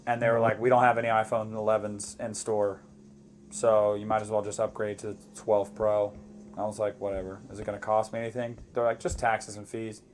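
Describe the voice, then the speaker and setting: A man in his twenties co-hosting a live movie commentary, chatting casually with viewers in a relaxed way. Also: fairly low pitch, fairly quick. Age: 20s. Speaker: co-host of a movie commentary channel.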